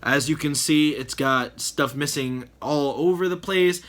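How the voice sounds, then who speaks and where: A man hosting a casual storytime vlog, talking in a chatty, exasperated way with a voice that is slightly hoarse. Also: fairly high pitch, measured pace, a bit bright. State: slightly hoarse, losing his voice. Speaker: channel host.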